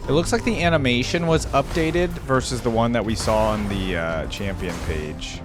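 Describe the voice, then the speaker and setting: A man's voice: mid-range voice, moderate pace, music in the background. A man giving casual reaction commentary as the host of a gaming video.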